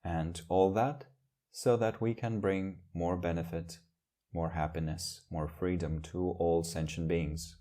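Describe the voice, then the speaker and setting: A man leading a guided meditation as a teacher, speaking in gentle, measured phrases with long pauses. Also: deep voice, steady tone.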